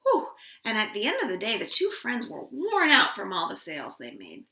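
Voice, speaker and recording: A woman telling stories to young children in an expressive, playful way, with drawn-out emphasis, recorded indoors at home. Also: fairly high pitch. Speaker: children's storyteller at a library storytime. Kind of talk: animated storytelling for young children. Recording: echoey room.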